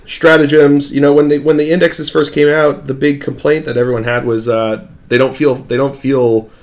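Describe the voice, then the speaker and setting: A man with a raspy voice hosting his own hobby channel, chatting casually from his home hobby room into a desk microphone. He rambles, with many fillers and restarts. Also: on the low side. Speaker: channel host. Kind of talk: casual hobby vlog talk while painting. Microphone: new desk microphone.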